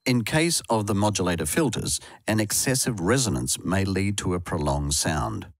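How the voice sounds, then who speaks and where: Male tutorial narrator, low pitch with lively rises and falls, scripted and explanatory. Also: normal speed.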